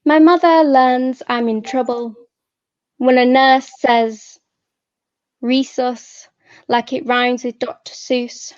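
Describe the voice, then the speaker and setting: A young woman speaks as a poet, sharing a personal talk and verse. She takes her time, pausing between short phrases. The sound is dry and a little dull, like muffled online audio. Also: high voice, fairly even intonation, a little mellow, smooth, dry recording. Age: young adult. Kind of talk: personal talk and poetry reading.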